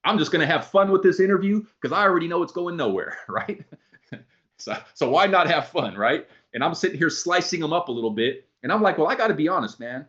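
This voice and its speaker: A man giving a motivational talk to a group, animated, acting out both sides of his stories. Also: a little high, a little fast. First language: not English. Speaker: motivational speaker. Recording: dull lo-fi sound.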